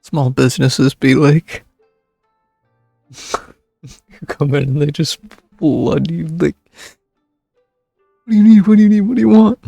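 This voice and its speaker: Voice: medium pitch, steady tone, slow pace, creaky, long pauses, dark tone, no echo. A streamer giving casual, joking gameplay commentary in a laid-back way. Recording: close dry mic with game music.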